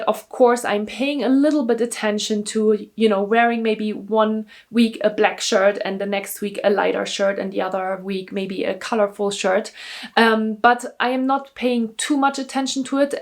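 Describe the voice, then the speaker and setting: A young German woman whose first language is German, chatting upbeat to camera in her vlog with a German accent, repeating words for emphasis. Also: mid-range voice. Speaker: YouTuber. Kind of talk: casual talking-to-camera vlog. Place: indoors, seated in front of a camera.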